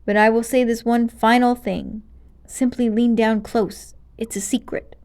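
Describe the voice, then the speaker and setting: Villainous piglin witch, acted by a woman, croaky and wheezing, raised pitch, scheming, wheedling, melodramatic, storybook villain. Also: fairly even intonation, measured pace, darkish tone. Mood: sly, wheedling. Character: villainous piglin sorceress, scheming, weakened, dying.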